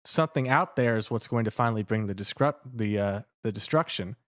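A man's voice: lowish voice, brisk, no room sound, clean studio sound. A man musing tentatively as a podcast panelist, speculating in a casual, joking way.

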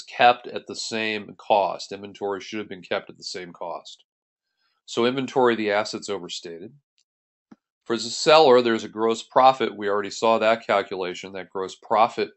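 A man's voice: on the low side, a bit bright. A man working as an accounting instructor, narrating a tutorial in a methodical, step-by-step way. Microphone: voice-over on a screen recording.

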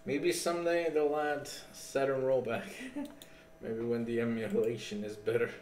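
A man's voice: mid-range voice, flat delivery, a little slow, darkish tone, slight background noise. A man hosting a fighting game tournament stream, chatting casually and swearing now and then. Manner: laid-back, swears casually, chats with chat. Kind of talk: casual live commentary. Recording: echoey room with faint game music.

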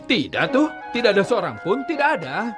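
A man's voice: high-pitched, sing-song, brisk, over music. A man voicing a brash, dismissive young older brother in a cartoon dub. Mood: offhand.

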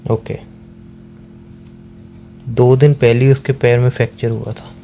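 A man's voice: medium pitch, level tone, unhurried, vocal fry, warm tone, slight background noise. A young man playing a suspicious, sarcastic boyfriend who keeps probing and repeating questions in an acted phone argument. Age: young adult. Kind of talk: acted phone-call argument between a couple.

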